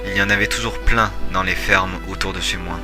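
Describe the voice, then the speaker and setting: A man's voice: low-pitched, fairly even intonation, brisk, over music, close to an everyday voice. A man voicing a stern, principled senior soldier and mentor, composed and serious, in an amateur video game dub. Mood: reflective, earnest.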